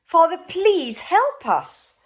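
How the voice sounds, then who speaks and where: Lady reader doing little prince boy, raised pitch, desperate n earnest, kids story. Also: very animated, takes it slowly, exaggerated voice. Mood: pleading. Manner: urgent, pleading, emphatic. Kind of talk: children's storybook reading.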